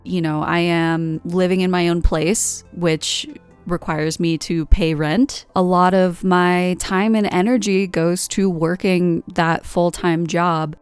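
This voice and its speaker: A woman's voice: on the low side, steady tone, slow, clean tone, no echo, soft background music. A woman in her twenties from the United States, talking candidly and rambling through a reflective vlog monologue with lots of fillers. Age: 20s. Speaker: art vlogger.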